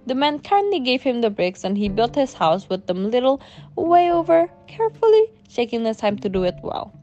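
A woman with a fairly high voice reads a bedtime story as a storyteller. Music plays behind her narration. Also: big pitch swings, moderate pace, warm tone, lo-fi sound.

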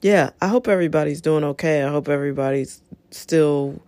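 A woman's voice: deep voice, fairly quick, warm tone, no room sound. A middle-aged woman with a slightly scratchy voice hosting a casual solo talk, encouraging and conversational, wandering into asides. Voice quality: a little scratchy. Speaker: show host.

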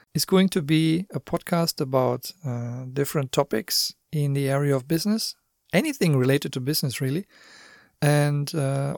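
A man's voice: on the high side, gravelly, no echo, clean studio sound. German-accented man hosting a podcast. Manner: friendly, explanatory, with occasional asides to the listener. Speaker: podcast host. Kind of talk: scripted podcast monologue.

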